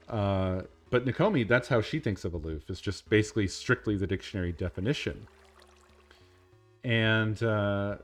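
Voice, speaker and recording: A man with a deep, monotone voice, talking in an unhurried, casual way while he streams a game.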